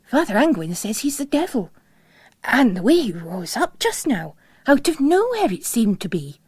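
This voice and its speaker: A woman's voice: a little high, sing-song, normal speed, a little mellow, breathy edge, no echo. A woman voicing a young nun, blunt and plain-spoken, weary of piety and wary. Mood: fearful, accusing.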